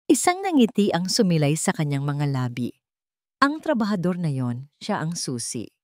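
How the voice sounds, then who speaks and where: A woman's voice: low-pitched, sing-song, moderate pace, no room sound, studio quality. A woman with a Filipino accent reading a scripted romantic drama as a story narrator.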